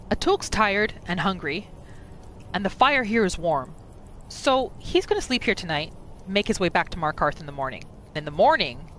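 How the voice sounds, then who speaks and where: A woman with a bright, clear-cut voice of medium pitch narrates a roleplay playthrough casually. She speaks for her character in the third person and adds small asides, over faint music. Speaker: gaming channel host.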